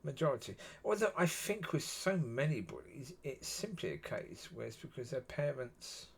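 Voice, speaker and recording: Man, husky, gravelly, rambling solo talk, average pitch. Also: very animated, moderate pace. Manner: musing, repeats yeah often. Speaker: solo video talker.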